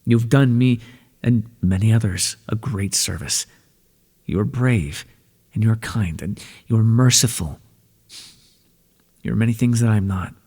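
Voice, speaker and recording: A man playing a soft-spoken breathy underworld god. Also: on the low side, measured pace, long pauses, big-room sound. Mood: gentle, soothing. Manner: tender and reassuring toward the listener. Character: calm, ancient male god of the underworld. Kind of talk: ASMR roleplay.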